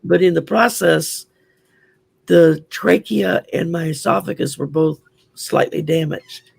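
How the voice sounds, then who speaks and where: A man's voice: a little high, takes it slowly, no room sound. Growly Southern man telling stories. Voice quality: growly, gravelly. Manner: chatty, open, storytelling. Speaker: guest. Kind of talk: casual chat.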